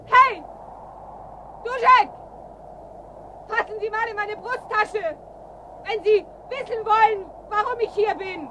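An actress playing a sharp-tongued, evasive woman agent in a radio drama, with a needling, guarded manner. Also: very high voice, slow, long pauses, background noise. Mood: taunting. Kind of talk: German radio play acting.

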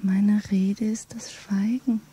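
An actress voicing a solemn female angel of despair in a radio play, speaking in a slow, hushed, incantatory whisper. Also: medium pitch, little pitch movement, slightly rough. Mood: ominous whisper.

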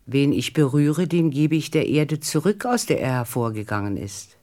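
An actor voicing a mysterious, calm snake in a radio play, speaking in a measured, cryptic and solemn way. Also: mid-range voice, close to an everyday voice. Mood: solemn, pitying.

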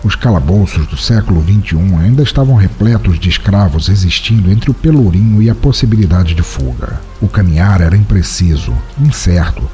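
A man plays a brooding, solitary writer of the night in an audio drama. His voice is low and mellow, with lively movement in pitch. He narrates his wanderings in a measured, introspective, literary manner. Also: soft background music.

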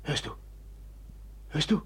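An actor in a German radio drama playing a brooding, submissive killer who speaks haltingly in a hushed, repetitive way. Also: male voice, natural voice. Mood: fearful. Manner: halting, hushed, repeating himself. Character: brooding, eerily submissive man who has killed a woman.

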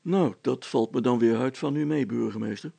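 A man's voice: mid-range voice, normal speed, creaky, warm tone, breathy edge, pitched below the performer's own voice. A man voicing a grumbling, wary father in a storybook, talking in a plain, colloquial way. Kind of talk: storybook character voice.

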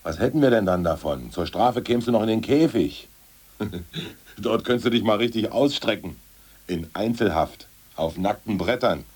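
An actor playing a gruff jailed ex-party boss in a German radio play, cynical yet secretly sentimental, with a gravelly, husky delivery. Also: male voice, lowish voice, expressive, moderate pace, darkish tone. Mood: grumbling.

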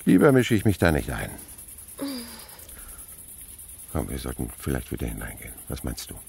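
A man's voice: a bass voice, huge pitch swings, vocal fry, a little mellow, slight background noise, exaggerated voice. An actor in a German radio play playing an older male naturalist, dreamy and fond of insects, with a poetic, theatrical manner. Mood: tender, quiet. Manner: poetic, musing, theatrical, breaks into slow singing.

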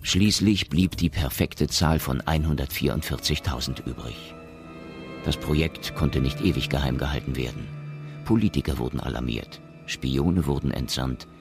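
A man narrating a science fiction radio drama in a measured, matter-of-fact, gravelly voice. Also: rumbling low. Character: sober male narrator of a science fiction story. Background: music.

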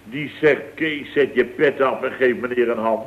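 An actor playing a blustering, easily flustered building-firm boss who rambles emphatically, in late 1960s radio comedy style. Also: male voice, mid-range voice, normal speed, natural voice. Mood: storytelling. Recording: dull, noisy vintage radio recording.

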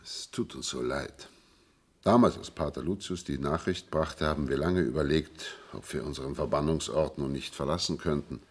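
An actor playing a weary, regretful old exile in a German radio play, speaking solemnly with a gravelly, husky voice. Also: male voice, very deep voice, normal speed, full character voice. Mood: regretful, unsure.

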